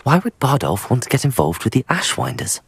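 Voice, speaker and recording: A young British student wizard for a fantasy game. His voice is medium in pitch with a husky edge. He is polite, restrained and composed. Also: big pitch swings, fairly quick, heavily acted. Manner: courteous, restrained. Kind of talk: video game voice acting.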